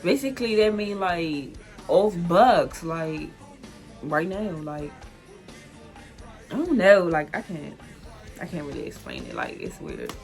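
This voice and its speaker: Chatty young D.C. woman, low-pitched voice. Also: very animated, slow pace, gravelly, pauses often, darkish tone, faint music behind. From Washington, D.C. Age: 20s. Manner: loose and chatty, heavy "like" fillers, easy laughter. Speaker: vlogger. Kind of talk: casual solo vlog explainer.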